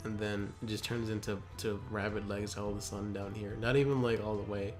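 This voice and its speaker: A man's voice: fairly low pitch, flat delivery, a little fast. A young man reading aloud and chatting casually, with skeptical, hesitant asides. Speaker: co-host reading a wiki aloud with commentary. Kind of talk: casual chat and read-aloud. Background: faint guitar music.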